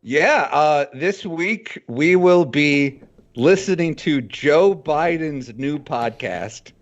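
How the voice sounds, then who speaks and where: A man as a podcast guest talks plainly and upbeat about his own show. His voice is fairly high, and his audio is dull and lo-fi. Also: animated, takes it slowly. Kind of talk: casual chat.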